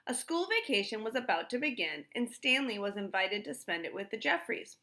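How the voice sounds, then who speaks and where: Lady reading a kids book out loud, bright highish voice, really animated. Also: a little fast. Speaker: storybook reader. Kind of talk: expressive children's read-aloud.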